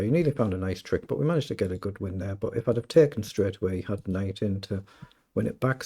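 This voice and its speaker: A British man with a slightly croaky voice, casually commentating his own chess game and thinking aloud. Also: fairly low pitch, dark tone. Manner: thinking aloud, self-questioning. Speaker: chess streamer.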